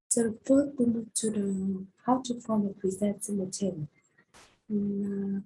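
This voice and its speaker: A woman's voice: medium pitch, mellow, slight background noise, poor audio. A young woman in her twenties with a Vietnamese accent, teaching a recorded grammar lesson with many hesitations and fillers. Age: 20s. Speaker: English teacher.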